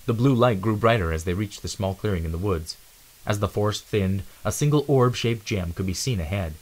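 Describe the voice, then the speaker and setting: A man's voice: lowish voice, brisk, low-quality recording. A man giving a dramatic narration of an adventure storybook.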